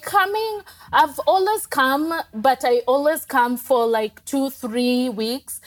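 Kenyan woman, casual guest on a travel podcast, fairly high pitch, talks in loose, laughing bursts. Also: poor audio. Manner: chatty, laughs often, many fillers and restarts. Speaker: podcast guest, digital nomad. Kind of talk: casual interview chat.

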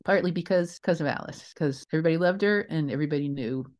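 A middle-aged woman answering interview questions as a theater director, in an expressive, emphatic and reflective way. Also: low voice, fast. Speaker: guest, theater director and creator.

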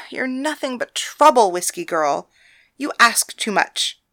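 A woman's voice: on the high side, animated, takes it slowly, full character voice. A woman playing a brusque, commanding brothel woman. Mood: exasperated. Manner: brusque and forceful, raising her voice in anger.

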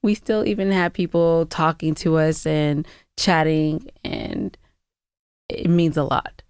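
Woman, slightly low voice, casual chatting, teasing, podcast co-host, dry close sound. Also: sing-song, slow pace, a little mellow, clean tone, no echo. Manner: teasing, playful. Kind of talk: casual chat.

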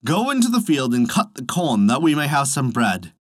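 A reader voicing a plain young bridegroom who speaks slowly and matter-of-factly in a lower voice. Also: big pitch swings, no room sound, clean studio sound.

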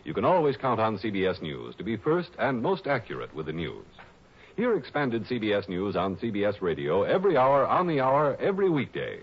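A man's voice: on the low side, huge pitch swings, fairly quick, slightly rough. A male radio announcer reading a scripted network promo in a polished, earnest, formal way. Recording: lo-fi 1960s radio broadcast.

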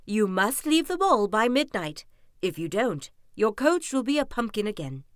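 A kindly fairy godmother gives gentle, measured instructions, as a woman storyteller voices her. Her voice has a lively lilt and sounds calm and reassuring. The read is dry and close. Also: medium pitch, no room sound, lightly acted.

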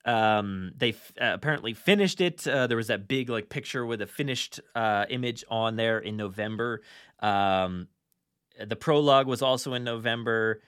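Man, casual podcast host, animated, enthusiastic, rambling conversational delivery, close dry sound. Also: mid-range voice, normal speed, clear, resonant tone, no room sound. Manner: animated, filler-heavy, acts out his own reactions.

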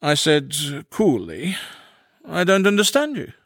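A man playing a fussy, self-important Victorian English gentleman, narrating his comic diary with precise, earnest pomposity. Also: mid-range voice, expressive, moderate pace, no room sound, studio quality. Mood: fussy, aggrieved.

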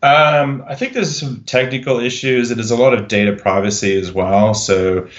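A man from Canada with an accent mixing Canadian and Australian, speaking as a podcast guest in a relaxed, matter-of-fact way. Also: mid-range voice, moderate pace, echoey room, dull audio. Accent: Canadian with Australian influence. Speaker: podcast guest, SEO consultant. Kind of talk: casual interview chat.

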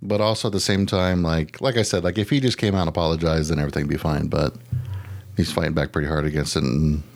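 A man with a low voice co-hosts a podcast in casual conversation. He rambles along in loose slang and drops swear words casually. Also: fairly even intonation, fast. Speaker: podcast co-host. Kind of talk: casual chat.

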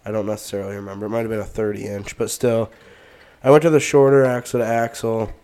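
A man with a stuffed-up nose, co-hosting a podcast and talking through his gear in a relaxed, rambling way. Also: on the low side, fast talker, smooth. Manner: relaxed, rambling, many fillers. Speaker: podcast co-host. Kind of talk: casual gear talk.

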